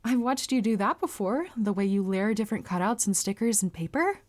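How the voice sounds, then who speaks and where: A woman playing a polite, earnest, bashful young anime heroine with a crush, speaking formally and gently gushing in a roleplay audio. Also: mid-range voice, animated, a little fast, natural voice. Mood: curious, eager. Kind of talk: anime character roleplay audio, addressed to listener.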